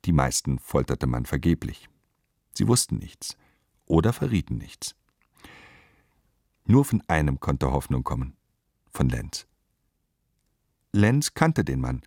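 A man's voice: very deep voice, big pitch swings, takes it slowly, vocal fry, pauses often, darkish tone, dry recording, studio quality. A man narrating a literary radio play from a prepared script.

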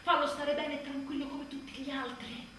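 A woman's voice: sits high, completely flat delivery, normal speed, fairly bright tone. An actress playing an exhausted, embittered young mother in a theatrical radio monologue, sardonic and volatile. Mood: weary despair. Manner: volatile, sardonic, swearing, swinging from outbursts to murmurs. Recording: roomy echoing stage, distant mic, faint noise.

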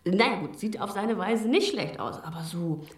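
A breezily self-assured woman with a spiritual bent in a radio drama. Her voice has a husky, breathy grain at a medium pitch. She talks in a colloquial, gushing way. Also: animated, moderate pace. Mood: mocking, amused. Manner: colloquial, gushing, chuckling between phrases. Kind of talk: naturalistic radio drama acting.

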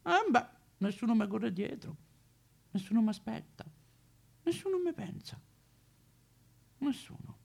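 An actor playing a boozy, cheeky, lonely homeless tramp of fallen nobility, in a rambling, foul-mouthed, singsong stage monologue with a Roman accent. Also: male voice, very high voice, sing-song, measured pace, gravelly, long pauses, darkish tone, heavily acted. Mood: boastful, wistful. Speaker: stage actor in a solo show. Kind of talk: theatrical comic monologue addressed to listeners.

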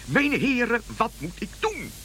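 Casting: flustered, bashful king for comic radio drama; high, wildly swooping delivery, polite and hesitant. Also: very animated, a little slow, sharp tone, exaggerated voice. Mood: earnest confiding. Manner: flustered, polite, repeating words in hesitant bursts. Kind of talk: comic radio play acting.

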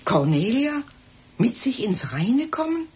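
A woman's voice: a little high, big pitch swings, slow pace, darkish tone, slightly rough, heavily acted. A woman playing a skeptical, bitter character with a questioning manner in a radio drama. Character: skeptical, bitter adult woman with fear of cellars.

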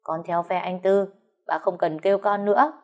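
An insolent young brother-in-law, as a woman reading the story puts him on. His delivery is offhand and sarcastic, with a low pitch. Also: steady tone, normal speed, a little mellow, close to an everyday voice.

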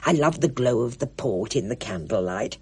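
A woman's voice: extremely low pitch, animated, normal speed, a little mellow, husky, pitched below the performer's own voice, exaggerated voice. A woman voicing a plump, jovial older woman who talks in a homely, motherly way.

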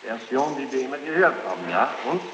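A man examining a witness in court, speaking formally and haltingly, pressing insistently and repeating answers sceptically. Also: mid-range voice, big pitch swings, a bit bright. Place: large reverberant courtroom. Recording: noisy, echoing archival courtroom tape.

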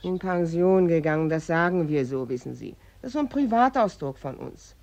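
An actress playing a blunt, gossipy working-class housewife in a radio drama, chatty and flippantly matter-of-fact. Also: female voice, fairly low pitch, expressive, normal speed, a little mellow. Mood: flippant, callous. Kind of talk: German radio drama acting.

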